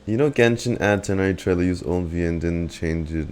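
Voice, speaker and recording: A man's voice: low voice, fairly quick, background noise. A young man giving casual, slangy game reaction commentary as a streamer, with muttered asides.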